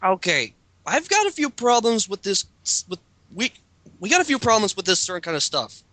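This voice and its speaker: A man's voice: sits high, big pitch swings, normal speed, pushed and bright, dry recording. A man doing a dramatic reading of a written character bio, breaking into casual commentary as host.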